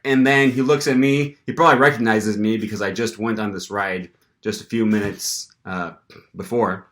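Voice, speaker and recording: A young man vlogging casually, telling an animated story with lots of fillers and restarts. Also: fairly low pitch, normal speed. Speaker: language-learning vlogger.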